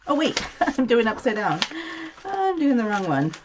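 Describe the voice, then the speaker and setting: A woman's voice: a little high, big pitch swings, normal speed, background noise. A woman chatting casually through a craft project, thinking aloud and correcting herself as she works. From United States. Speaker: craft channel host. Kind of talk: casual craft-along chat, narrating while working.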